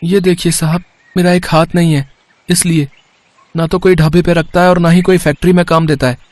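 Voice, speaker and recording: A man's voice: a little high, level tone, brisk, a little mellow, dry recording, lightly acted. A man voicing a poor, humble young beggar, deferential and earnest, in a moral story. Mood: pleading. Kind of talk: Hindi moral story voice acting.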